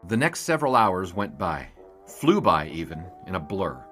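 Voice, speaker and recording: A man's voice: sits low, sing-song, moderate pace, music in the background. A man playing a dry, wisecracking 1920s newspaper sports writer in an audio drama, in both dialogue and narration. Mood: reflective.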